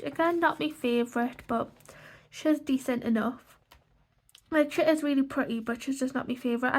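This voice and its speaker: Stuffy-nosed young woman chatting. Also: high voice. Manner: rambling, many "like" fillers and restarts. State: stuffy from a cold. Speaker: colouring channel host. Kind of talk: casual sketchbook flip-through chat.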